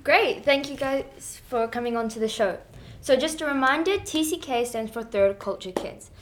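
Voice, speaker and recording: A woman's voice: on the high side, animated, normal speed. Upbeat teenage girl hosting. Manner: upbeat, reads prepared questions. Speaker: student podcast host. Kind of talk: scripted hosting.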